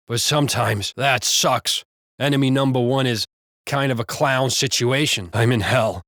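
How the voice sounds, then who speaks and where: An actor playing a gossipy, foul-mouthed American cop in casual, blunt video game banter. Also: male voice, mid-range voice, a bit bright, dry recording, studio quality, lightly acted. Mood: earnest, exasperated. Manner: casual, blunt, profane wisecracking.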